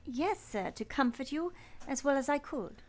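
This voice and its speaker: A woman's voice: high-pitched, lively intonation, moderate pace. A woman playing an earnest young governess in a radio play, both narrating and speaking with polite deference. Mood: devoted.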